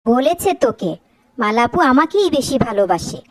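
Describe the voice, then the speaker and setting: A woman's voice: fairly high pitch, very animated, normal speed, dry recording. A woman voicing several characters in a dubbed cartoon, delivering scolding, quarrelsome lines.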